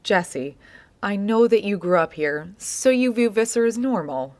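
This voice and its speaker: Woman playing a calm, probing American interviewer. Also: medium pitch, a little slow, close to an everyday voice. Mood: patient, probing. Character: patient, probing woman interviewer recording an oral history. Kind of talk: naturalistic audio drama interview.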